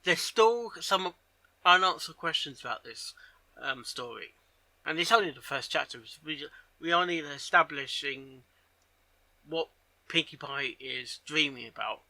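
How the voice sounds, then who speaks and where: A man giving casual reaction commentary on a story, halting and often repeating his phrases as he goes. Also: a little high, animated, bright, forceful tone, no echo, poor audio. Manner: halting, repeats and rephrases himself. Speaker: reaction video host.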